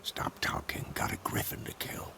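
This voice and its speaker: An actor playing a gruff, wise old monster-hunter mentor in a video game, gravelly and terse in delivery. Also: male voice, moderate pace, whispered, natural voice. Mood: gruff, instructive. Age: older. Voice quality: gravelly, creaky. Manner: terse, weighty, instructive.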